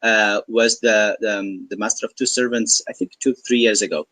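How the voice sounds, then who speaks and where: A man who hesitates and fills pauses as he chats on a video call. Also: fairly low pitch, steady tone, a bit bright, no room sound, poor audio. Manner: hesitant, slow with fillers. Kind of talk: casual video-call chat.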